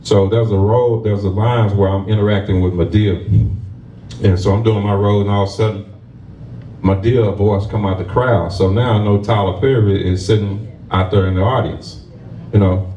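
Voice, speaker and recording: A man, a stage actor, tells a lively story to a live audience with laughs, then closes with motivational encouragement. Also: fairly low pitch, normal speed, highs cut off, slight background noise. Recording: roomy sound from a distant mic.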